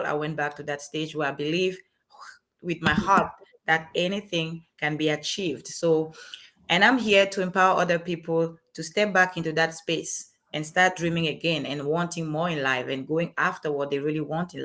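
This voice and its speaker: A woman's voice: deep voice, fairly bright tone. A young woman from Cameroon with a French-Cameroonian accent, speaking as an earnest, motivational guest on an interview show. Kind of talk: interview chat.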